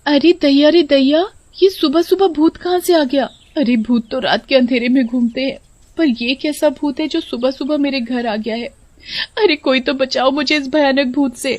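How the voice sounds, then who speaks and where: A woman voicing an excitable village wife in a cartoon, shrill, exclamatory and melodramatic. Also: moderate pace, lightly acted. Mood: terrified. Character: frightened, then indignant village wife of a ghost. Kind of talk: Hindi cartoon voice acting.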